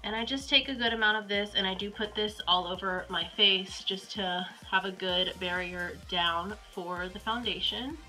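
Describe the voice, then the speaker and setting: Very bright-voiced stuffy young woman. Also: medium pitch, normal speed, few pauses, soft background music, rough recording. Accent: Southern US. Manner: chatty, rambling, with frequent ums and likes. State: stuffy and congested with a cold. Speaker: beauty vlogger. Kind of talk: casual makeup tutorial.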